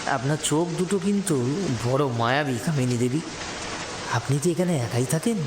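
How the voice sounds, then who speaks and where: A man's voice: medium pitch, expressive, moderate pace, lightly acted. A man playing a courteous, hesitant young photographer who is easily entranced, in an audio horror story. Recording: echoey, hissy, rain behind voice.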